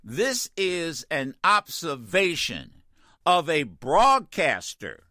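A man hosting a talk radio show, ranting in an animated, exasperated way with many stammered restarts, his voice getting worn. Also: a little high, slow, no room sound, clean studio sound. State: losing his voice. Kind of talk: opinionated talk radio rant.

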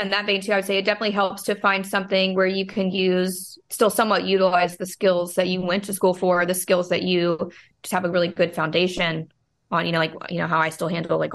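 A woman's voice: on the low side, vocal fry. A woman, a brewery co-owner, chatting casually and rambling with lots of fillers. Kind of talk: casual interview.

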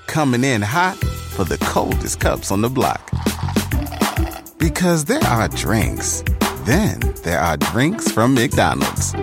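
A breezy, swaggering commercial voice with a slow delivery and a very sing-song lilt. Music plays clearly beneath it. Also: mid-range voice, wildly swooping pitch. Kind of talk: upbeat commercial read.

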